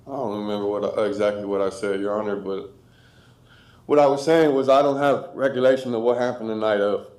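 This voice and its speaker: A man's voice: lowish voice, brisk, a little mellow. A man with an American accent answering questions in court as a defendant, plain-spoken and defensive. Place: indoor courtroom. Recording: echoey room sound.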